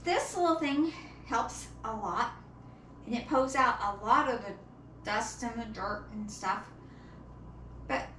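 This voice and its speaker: A woman's voice: fairly high pitch, sharp tone. A middle-aged woman explains things plainly, step by step, in a casual home vlog, recorded by a camera a few metres away in a kitchen. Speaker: home cleaning vlogger. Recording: roomy echo, clear background noise.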